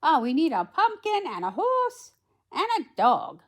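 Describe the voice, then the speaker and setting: A woman's voice: sits high, wildly swooping pitch, measured pace, pitched above the performer's own voice, full character voice. A woman voicing a kindly, magical fairy godmother in a storybook read aloud, theatrical and exclamatory. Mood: kindly, bustling. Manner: exclamatory, theatrical, sing-song.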